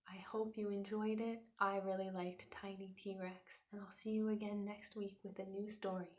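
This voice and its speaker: A woman's voice: medium pitch, little pitch movement, normal speed. A woman teacher doing an interactive read-aloud for young children, speaking encouragingly to her listeners as friends. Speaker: teacher and storyteller.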